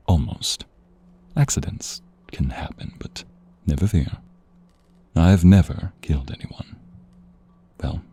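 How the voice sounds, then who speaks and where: Man playing a very deep, husky vampire seducer. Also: mellow, dry recording, heavily acted. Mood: reassuring, commanding. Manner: slow teasing murmur, often breathy whispers, sighs. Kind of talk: intimate ASMR romance roleplay.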